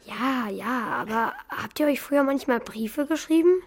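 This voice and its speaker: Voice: high-pitched, normal speed. A performer playing a curious, slightly shy schoolboy in a children's radio play, speaking hesitantly and colloquially. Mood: curious, teasing. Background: music.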